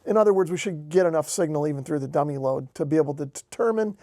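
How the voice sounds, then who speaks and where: A man's voice: a little high, quick pace, warm tone, no echo, clean studio sound. An American man casually narrating a hands-on hobby demonstration as host. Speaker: host, amateur radio hobbyist.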